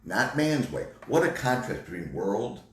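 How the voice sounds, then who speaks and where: A man's voice: mid-range voice, big pitch swings, unhurried. A man co-hosting a ministry talk, breaking in with short exhortations. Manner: terse, exhortative asides. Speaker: ministry co-host. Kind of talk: short preaching interjections. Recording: echoey room.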